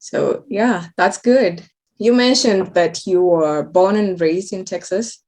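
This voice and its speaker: A woman's voice: mid-range voice, slow pace, darkish tone. A woman interviewing a guest, encouraging her and asking simple questions. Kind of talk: casual interview.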